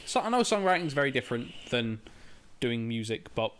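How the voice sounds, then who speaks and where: This is a young man chatting on a podcast. He talks in a relaxed way, often restarting his sentences. His pitch sits in the middle range. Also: fairly quick, a little hiss or hum. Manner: laid-back, with frequent restarts and swearing. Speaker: podcast co-host. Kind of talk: casual chat.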